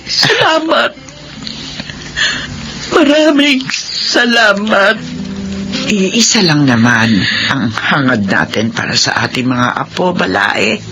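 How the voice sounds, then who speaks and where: An actress playing an emotional elderly grandmother in a Filipino radio drama, with drawn-out, sighing delivery and a Filipino accent. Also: medium pitch, big pitch swings, over music. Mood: gentle, forgiving. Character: elderly grandmothers, emotional in-laws quarrelling and reconciling.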